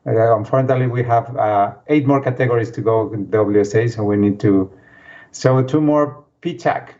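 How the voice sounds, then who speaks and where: A man's voice: medium pitch, moderate pace, darkish tone. A man moderating a panel casually, with informal, rambling and hesitant phrasing. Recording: dull lo-fi audio.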